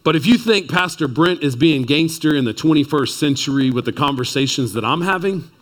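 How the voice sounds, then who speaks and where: Emphatic preaching man in his thirties. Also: brisk. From Texas. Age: 30s. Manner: emphatic, rising to excited peaks, then dropping low and quiet. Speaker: pastor. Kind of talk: sermon. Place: church before a seated congregation.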